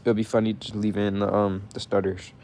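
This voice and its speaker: A man's voice: lowish voice, level tone, quick pace, a little mellow. A young man ranting casually to his viewers, profane and heated, saying bro constantly and stuttering when he gets mad. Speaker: vlogger.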